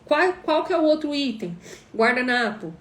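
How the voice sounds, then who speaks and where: A woman's voice: high-pitched, very animated, normal speed, fairly bright tone. Emphatic Brazilian woman mentor in echoey room. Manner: emphatic, repeats points, asks rhetorical questions. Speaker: online course mentor. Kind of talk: live stream teaching chat.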